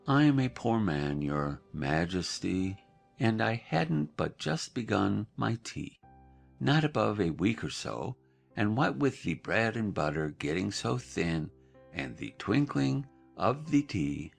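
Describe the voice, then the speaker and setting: A man's voice: lowish voice, big pitch swings, slow, creaky, faint music behind, full character voice. A man playing a nervous, muddled poor hatter in an audio drama, rambling and apologetic.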